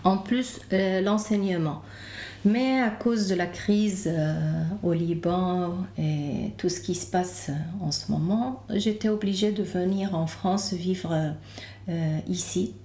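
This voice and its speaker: Woman, Lebanese accent, slow hesitant delivery, mellow tone, casual podcast guest, echoey room. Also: fairly low pitch, steady tone. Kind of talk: casual interview, telling own story. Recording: roomy, echoing room.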